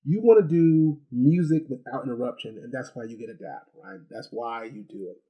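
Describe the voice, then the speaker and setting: A man's voice: mid-range voice, a little fast, slightly rough, highs cut off. A man giving a casual, unscripted product review, rambling and repeating words as he goes, with chatty rhetorical asides. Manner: chatty, rhetorical asides and check-ins like "right?". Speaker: gadget review host.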